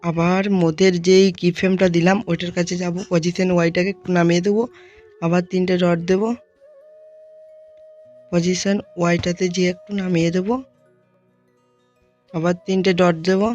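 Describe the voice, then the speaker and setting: A tutorial host narrating software steps one by one, speaking informally to viewers as friends. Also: mid-range voice, flat delivery, normal speed, long pauses, muffled recording, faint music behind.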